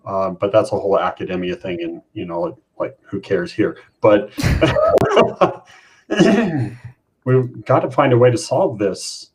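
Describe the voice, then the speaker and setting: A man co-hosting a panel conversation, thoughtful and hesitant, pausing with many fillers. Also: fairly low pitch, expressive, a little mellow, husky. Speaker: co-host, college IT lead.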